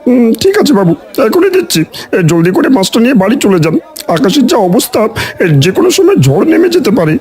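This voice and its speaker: A man's voice: very high-pitched, big pitch swings, quick pace, darkish tone. A man voicing an eager, cheeky village fish seller in a cartoon, with deferential sales patter. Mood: obliging. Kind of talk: cartoon story dialogue.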